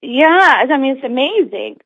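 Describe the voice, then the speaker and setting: A woman's voice: sits high, sing-song, unhurried, a bit bright, no echo, dull audio. An American woman calling in to a talk show, speaking hesitantly with many fillers and restarts. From United States. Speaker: caller. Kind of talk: phone-in call.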